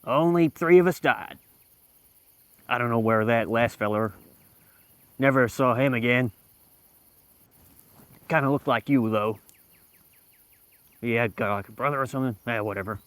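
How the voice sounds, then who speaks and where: A man playing a callous Old West outlaw who tells stories in a rambling, deadpan way, his voice dry. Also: mid-range voice, unhurried, pauses often, no room sound, close to an everyday voice. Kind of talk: roleplay in a western game.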